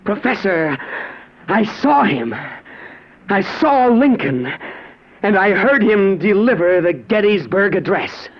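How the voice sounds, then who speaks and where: A man acting in a 1950s radio drama as an earnest, awed young man, with halting, stammering, breathless delivery. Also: high voice, very animated, exaggerated voice. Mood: excited. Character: earnest, awed young man, time-travel experiment subject. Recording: dull vintage radio broadcast recording.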